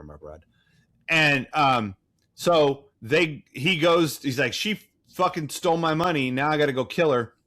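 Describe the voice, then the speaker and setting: A man's voice: mid-range voice, a bit bright. A man co-hosting a podcast, casually recapping a movie in a rambling, irreverent, profane way with wry, flippant asides. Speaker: podcast co-host.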